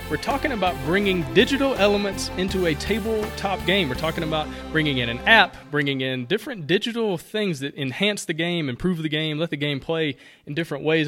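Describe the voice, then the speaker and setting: A man's voice: on the high side, a bit bright. A man hosting a podcast, upbeat and loose as he interviews a guest. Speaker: podcast host.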